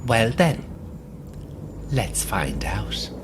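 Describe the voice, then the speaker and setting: An actor with an Irish accent playing a possessed coach tour guide, sinister, theatrical and taunting, in an audio drama. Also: male voice, lowish voice, huge pitch swings, very slow, background noise, exaggerated voice. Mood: cool, commanding.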